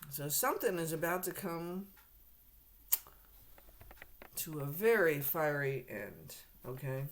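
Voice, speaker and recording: A woman doing a casual tarot reading to camera, hoarse and losing her voice, clearing her throat often and speaking to the viewer bluntly and directly. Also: sits low, big pitch swings, slow, slight background noise. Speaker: tarot reader.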